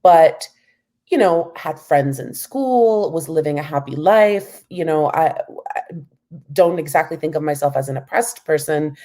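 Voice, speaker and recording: American woman guest, low warm voice, reflective, conversational. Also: lively intonation, measured pace. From United States. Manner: thoughtful, frequent "you know". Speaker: guest academic. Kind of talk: interview answers.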